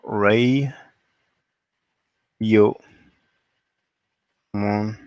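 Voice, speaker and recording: A man's voice: fairly low pitch, steady tone, drawn-out words, darkish tone, no echo, lo-fi sound. A man from France hosting a solo live stream, reading viewer comments and asking pointed rhetorical questions.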